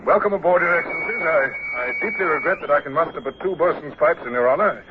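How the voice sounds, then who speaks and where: An actor playing a polite young British sloop captain in a radio drama, courteous and stiffly formal. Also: male voice, on the high side, fast, lightly acted. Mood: flustered, deferential. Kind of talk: vintage radio drama acting. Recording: tinny old radio recording with hiss.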